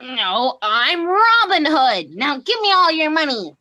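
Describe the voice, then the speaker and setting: Swaggering comedic outlaw, acted by a young woman, bright forceful voice, slowish, highish pitch, stage-play delivery. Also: very animated, exaggerated voice. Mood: proud, demanding. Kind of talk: comic stage acting over video call.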